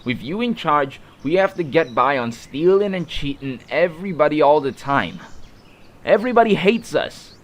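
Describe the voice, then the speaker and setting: A man's voice: on the high side, very animated, normal speed. A man playing a resentful, whiny fantasy creature in an audio drama, griping and blustering as a would-be mutineer. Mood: defiant.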